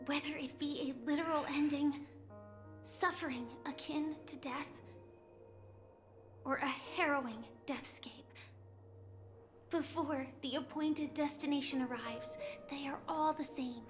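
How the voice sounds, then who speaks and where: A woman playing a gentle, resolute young warrior in an anime game, speaking earnestly and solemnly in a measured way. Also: sits high, fairly even intonation, soft background music. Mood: tender, hopeful.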